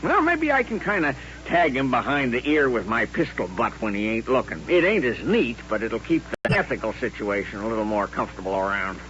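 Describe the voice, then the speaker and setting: An actor playing a sly, folksy saloon keeper with an American Western drawl in an old radio western. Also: male voice, mid-range voice, sing-song, fairly quick, hardly any pauses, natural voice. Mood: sly, wheedling. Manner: folksy, joking, wheedling. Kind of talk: 1950s radio western acting. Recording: dull, noisy vintage radio recording.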